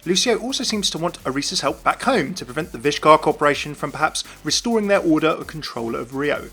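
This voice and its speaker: A man's voice: a little high, animated, fast talker, fairly bright tone, slightly rough. A man giving a scripted commentary on video game lore. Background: faint music.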